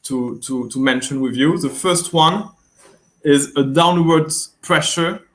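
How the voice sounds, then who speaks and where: A man from France with a French accent, a guest executive speaking in an online interview, measured and hesitant, with many fillers. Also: fairly high pitch. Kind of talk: webinar interview.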